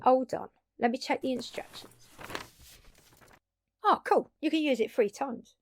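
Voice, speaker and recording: A woman playing a brash, excitable undead vampire in an audio drama, talking casually and expressively straight to the listener with salty language and dramatic pauses. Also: high voice, a little hiss or hum. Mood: casual, upbeat. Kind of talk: intimate audio drama roleplay addressed to listener.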